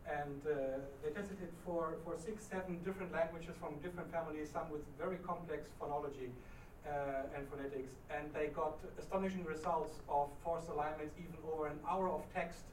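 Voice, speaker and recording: A man in the audience asking the presenters a question, in a halting way with many restarts. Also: fairly high pitch, steady tone. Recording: roomy, distant, noisy hall mic.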